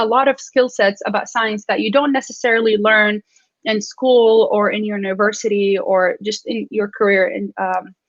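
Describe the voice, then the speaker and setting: A woman's voice: medium pitch, fairly bright tone, no echo. Iraqi-accented woman, dry lo-fi audio. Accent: Iraqi Arabic. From Iraq. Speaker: science communicator panelist. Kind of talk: casual panel talk. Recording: dull dry lo-fi sound.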